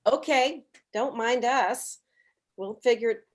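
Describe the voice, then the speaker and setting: A woman's voice: on the high side, takes it slowly. A woman playing a wry, unimpressed friend in a live table read. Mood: teasing.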